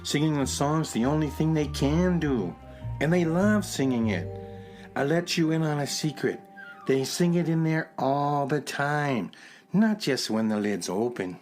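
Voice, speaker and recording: Courteous, eager shopkeeper, faint music. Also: male voice, a little high, animated, a little slow, a little mellow. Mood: eager, confiding. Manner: polite, eager explainer. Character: courteous male music box gallery keeper, enthusiastic and talkative. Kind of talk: children's radio drama acting.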